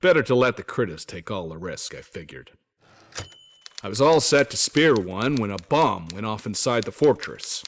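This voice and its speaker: A weary male survivor and former soldier from a video game, telling his story as letters. His voice sits on the low side. He talks in a folksy, wry, grumbling way, like a storyteller resigned to bad luck. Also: big pitch swings, normal speed, a little hiss or hum. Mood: rueful, tense. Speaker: voice actor reading journal notes. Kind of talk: dramatic first-person letter narration.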